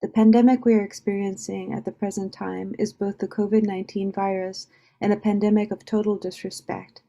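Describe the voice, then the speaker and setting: A woman's voice: mid-range voice, level tone, a little fast, gravelly, dark tone, muffled recording. A woman reading a report aloud as part of a group reading over a video call.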